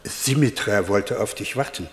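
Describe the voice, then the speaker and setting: A man's voice: lowish voice, normal speed, natural voice. A man playing a grave, admonishing Greek Orthodox priest in a German radio play. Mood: reproachful. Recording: echoey hall with long reverb.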